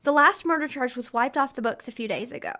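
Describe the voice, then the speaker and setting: A woman's voice: high voice, expressive, quick pace, bright, forceful tone. An actress playing a loyal, earnest woman in an old-time radio drama. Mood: earnest, modest.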